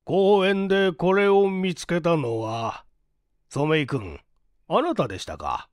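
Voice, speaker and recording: A man voicing a stout, genial, wealthy old gentleman in a story reading, with courteous, deliberate, old-fashioned speech. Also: fairly high pitch, expressive, smooth, dry recording, studio quality. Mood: amused, curious.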